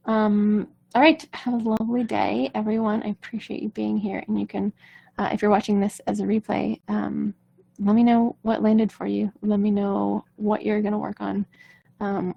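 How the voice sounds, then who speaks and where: Gravelly-voiced female vocal coach. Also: medium pitch, dark tone. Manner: casual, reassuring, talks straight to viewers. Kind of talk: live-streamed coaching chat.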